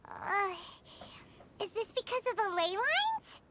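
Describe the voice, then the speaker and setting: A very high, squeaky little fairy, childlike and naive in a video game. She talks slowly with big swoops of cutesy intonation, over loud noise. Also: sing-song, exaggerated voice. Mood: sleepy. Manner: cutesy, speaks of herself by name. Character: tiny childlike female floating fairy companion, naive, cutesy. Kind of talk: scripted video game voice acting.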